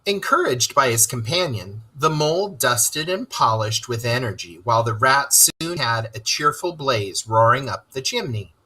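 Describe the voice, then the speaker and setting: A storyteller giving an expressive, dramatic reading of a children's story. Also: medium pitch, normal speed, hardly any pauses, husky, rough recording. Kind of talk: scripted narration, children's story read-aloud.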